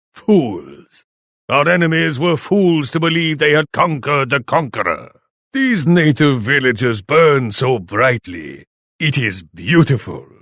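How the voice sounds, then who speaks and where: A proud, ruthless Japanese warlord for a strategy game, pitched a little high for a man. He speaks formally and imperiously, with contempt for allies and enemies alike, in a close, dry recording. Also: fairly bright tone, no echo, full character voice. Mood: haughty, scornful, then grim satisfaction. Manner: imperious, formal, speaks of himself in third person. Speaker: video game faction leader. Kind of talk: scripted video game voice lines.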